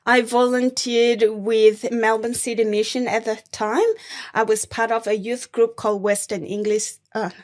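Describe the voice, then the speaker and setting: A young woman from Thailand, a Karen refugee, speaking slowly and reflectively as a guest in an interview. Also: a little high, flat delivery. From born in Thailand, Karen refugee. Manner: slow, measured and reflective, with gentle laughs. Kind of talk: conversational interview.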